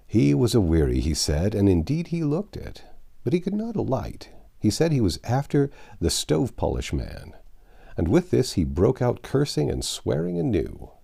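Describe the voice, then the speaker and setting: A man reading classic literature aloud as an audiobook narrator, phrasing deliberately and expressively. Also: lowish voice, creaky, dark tone, slightly rough.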